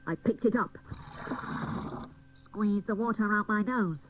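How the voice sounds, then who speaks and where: A dotty female private detective , played by an actress narrates a comic radio play. Her mid-pitched voice is deadpan and mock-dramatic, spoofing hard-boiled crime stories. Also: measured pace, background noise, lightly acted.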